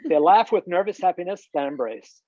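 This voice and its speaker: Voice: mid-range voice, animated, fairly quick, dark tone, no echo. Dry, dull-sounding script narrator. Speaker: stage-direction reader. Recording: dull video-call audio.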